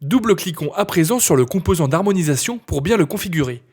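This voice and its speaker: Male instructor, slightly high husky voice, scripted step-by-step software tutorial read. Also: expressive, fast talker.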